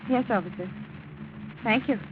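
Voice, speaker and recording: A woman's voice: fairly high pitch, moderate pace, lightly acted. An actress playing an anxious, persuasive young woman in 1940s radio drama style. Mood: tense, controlled. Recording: tinny, noisy 1940s radio recording.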